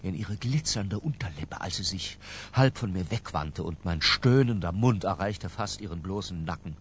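An actor playing a scholarly, self-dramatizing man who narrates a radio drama in an ornate, deliberate, confessional manner. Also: deep voice, a bit bright, a little hiss or hum. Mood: intense. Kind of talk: literary first-person radio drama narration.

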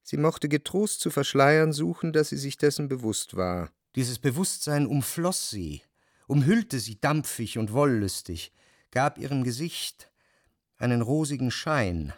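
An actor playing a jealous, brooding narrator who speaks in measured, reflective long sentences in a literary radio drama. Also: male voice, mid-range voice, lively intonation, no room sound, clean studio sound. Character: jealous, brooding male narrator, introspective and anxious.

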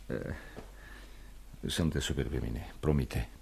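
An actor playing a smooth-talking, ardent young suitor in a radio play, teasing and theatrical in manner. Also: male voice, rumbling low, steady tone, warm tone, a little hiss or hum.

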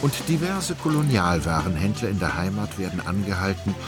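A man narrating a literary radio play in a measured, precise, formal manner. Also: sits low, animated, over loud music.

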